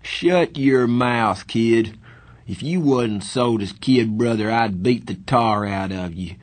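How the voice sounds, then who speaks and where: Protective street-tough teen boy, acted by a male reader, husky, slangy and emphatic, for novel dialogue. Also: mid-range voice, takes it slowly. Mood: fierce. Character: tough, protective older teenage boy, scolding and good-natured.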